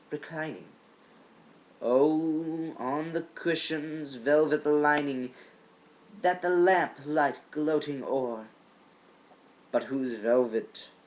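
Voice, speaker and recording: A man giving an amateur dramatic reading of a poem, theatrical, in halting phrases with swelling emphasis. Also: medium pitch, a little mellow, rough recording.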